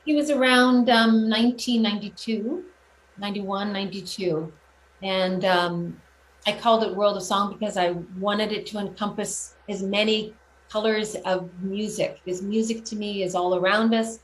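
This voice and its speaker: A middle-aged woman giving reflective, earnest interview answers about her own career, often halting and rephrasing as she goes. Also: medium pitch, fairly even intonation. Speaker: guest, children's music performer and teacher. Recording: roomy echo, clear background noise.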